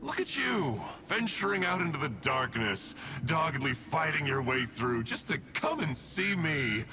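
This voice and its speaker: Smug, animated game villain. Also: male voice, fairly high pitch, noisy background. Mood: mocking, amused. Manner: mocking, flippant, drawn-out teasing delivery. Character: smug young male villain, theatrical, taunting schemer. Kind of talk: video game voice acting.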